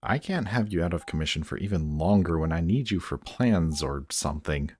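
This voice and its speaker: A man plays a villain with a low-pitched voice in an intimate audio roleplay. He is dry and sarcastic, teasing casually while keeping his guard up and caring only grudgingly. Some noise sits behind the voice. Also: a little mellow. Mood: grudging, brusque.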